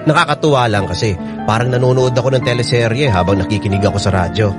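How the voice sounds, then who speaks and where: A man's voice: lowish voice, fast. A man reading a listener's letter as scripted, dramatic first-person narration on a radio drama program. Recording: loud music bed under the voice. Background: loud music.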